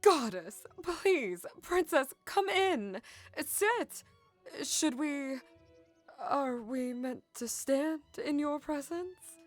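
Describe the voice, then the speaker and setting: Doting mother for audio drama, played by a woman: high voice, animated delivery, flustered and fussy. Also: extremely slow, faint music behind, full character voice. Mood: flustered, deferential. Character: flustered, doting middle-aged mother, apologetic and fussing.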